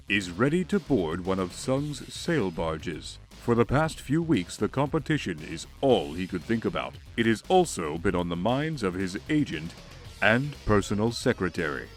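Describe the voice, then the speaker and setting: A man reading dramatic scripted narration in a grave, deliberate way. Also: lowish voice.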